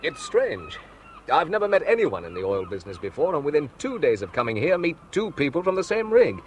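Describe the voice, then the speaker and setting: A man's voice: a little high, huge pitch swings, brisk, slight background noise. An actor playing a polite male visitor, mild and curious, in a radio drama.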